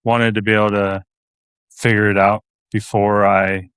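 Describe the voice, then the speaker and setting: A man's voice: on the low side, flat delivery, unhurried, a bit bright, clean tone, no echo. A man talking casually as a sim racer guest on a podcast. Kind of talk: casual interview talk.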